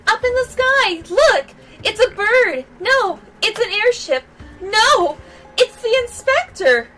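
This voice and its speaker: Woman putting on a very high, bright chirpy girl. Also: lively intonation, slow, soft background music, exaggerated voice, poor audio. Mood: excited. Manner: bubbly, earnest, exclamatory. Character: chirpy, naive, eager young female inspector's assistant.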